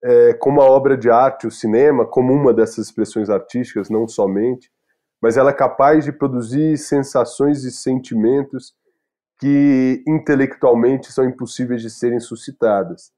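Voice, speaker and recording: A man's voice: medium pitch, fairly even intonation, moderate pace, dark tone. A Brazilian man co-hosting a podcast, speaking in an earnest, reflective way and restarting phrases as he thinks. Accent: Brazilian Portuguese. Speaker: podcast co-host. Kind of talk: casual discussion podcast.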